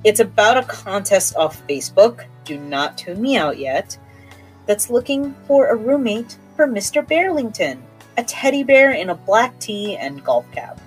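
A woman's voice: mid-range voice, very animated, unhurried, slightly rough, faint music behind, poor audio. A woman hosting a horror podcast, talking in a conversational, playful and enthusiastic way. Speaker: podcast host.

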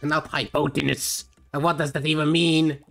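A man's voice: on the high side, level tone, normal speed, gravelly, fairly bright tone, faint music behind. A young man from Hungary with a Hungarian accent, streaming a game live and chatting in a rambling way with lots of fillers, tired late in the session. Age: young adult.

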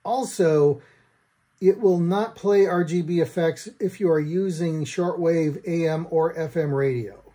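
A middle-aged man with an American accent reviews a product to camera in a dry, wry way. His voice sits a little high for a man, yet it is soft-edged and mellow, with a husky grain. He speaks from a room at home. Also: unhurried. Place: small room at home, indoors.

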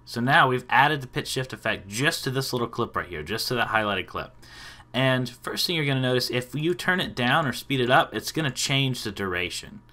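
A man in his thirties hosts a software tutorial. His voice is medium in pitch with a bright, forward edge, and he explains things casually. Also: lively intonation, normal speed. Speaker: tutorial host.

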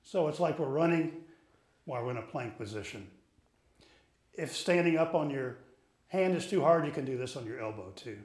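A middle-aged man coaching ski fitness, explaining each exercise calmly while he demonstrates it in a studio. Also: mid-range voice, normal speed, pauses often. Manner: patient, explanatory, talks while moving.

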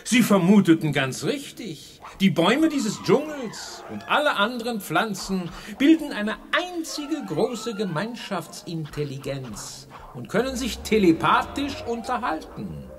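Wildly swooping, high-voiced mad genius villain. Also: male voice, sing-song, measured pace, hardly any pauses, over music. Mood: gloating, menacing. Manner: mock-courteous, theatrical, gloating. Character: gloating, mad male genius villain with a pistol. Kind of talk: 1980s German children's sci-fi radio drama acting.

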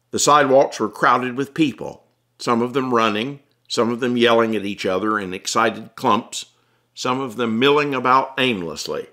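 Male author-narrator, lowish register, scripted dramatic storytelling for an audiobook. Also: fairly bright tone. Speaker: author reading his own audiobook.

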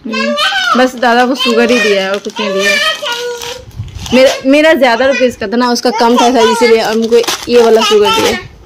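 Young woman rambling to her viewers at home, casual, slightly high voice. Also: expressive, normal speed, very noisy. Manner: chatty, loose and repetitive, talking to viewers and her child. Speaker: vlogger and mother. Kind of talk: casual unboxing vlog. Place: indoors at home.

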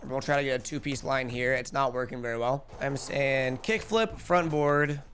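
Young man, gaming streamer, chatty, headset mic, slightly hoarse, light music underneath. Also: medium pitch, normal speed. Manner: energetic, chatty, calls out tricks. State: losing his voice. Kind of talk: live gameplay commentary. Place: home streaming room.

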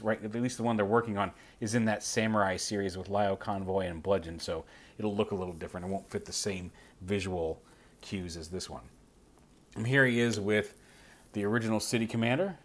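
Low-voiced man demonstrating a toy. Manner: casual, explains step by step while handling a figure. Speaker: toy reviewer. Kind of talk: hands-on toy review walkthrough.

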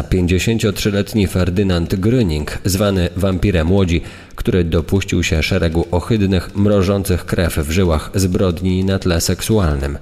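An actor playing a solemn 1930s press reporter, reading a lurid trial account in formal style. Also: male voice, sits low, darkish tone, close to an everyday voice. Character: grave 1930s newspaper reporter recounting a trial. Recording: long hall reverb.

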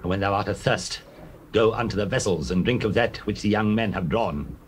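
An actor playing Boaz, a kindly, dignified older landowner, in measured, solemn classical delivery of dramatized scripture. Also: male voice, on the low side, natural voice. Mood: gentle authority. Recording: noisy old cassette tape.

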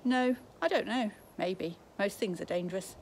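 A woman's voice: mid-range voice, normal speed, lightly acted. Woman reading as wary, cocky boy, blunt and dismissive, outdoor recording with background noise. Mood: offhand. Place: garden outdoors.

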